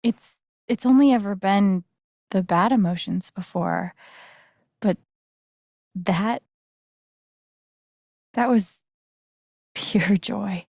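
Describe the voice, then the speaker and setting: An actress playing an earnest, gentle young woman in a video game, speaking softly and haltingly with sincere, naturalistic delivery. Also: mid-range voice, vocal fry, no room sound, studio quality, exaggerated voice. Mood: confiding, hesitant.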